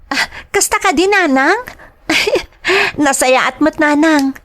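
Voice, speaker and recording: A woman's voice: sits high, takes it slowly, fairly bright tone. A woman playing a dutiful, affectionate young working daughter in a radio-style drama, with a Filipino accent and an earnest, respectful manner. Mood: cheerful, affectionate. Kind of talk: Ilocano audio drama acting.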